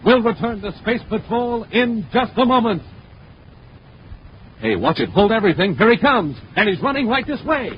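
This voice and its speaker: A man announcing and selling in an excited 1950s radio style. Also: high voice, moderate pace, a little hiss or hum. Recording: muffled 1950s radio recording.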